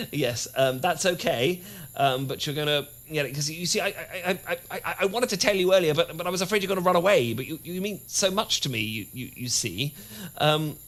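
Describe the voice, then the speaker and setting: A British man playing an adorkable, nervous and eager boyfriend with a secret monster side, stammering and halting in a romantic audio roleplay. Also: fairly high pitch, fairly bright tone. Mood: anxious, tender. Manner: flustered, heavy stammering and halting restarts. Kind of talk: romantic audio roleplay acting, addressed to listener.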